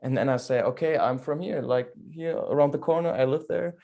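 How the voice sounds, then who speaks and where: A man's voice: a little high, brisk, creaky, warm tone. A man from Berlin, an actor speaking in a panel conversation, fluent, earnest and emphatic. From Berlin, Germany.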